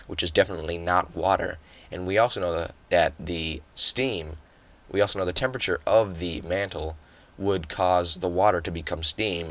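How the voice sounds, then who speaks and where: A young man hosting a solo podcast, nasal and a bit hoarse after yelling the day before, explaining things casually with fillers. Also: sits low. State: hoarse from yelling, just woke up. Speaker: podcast host.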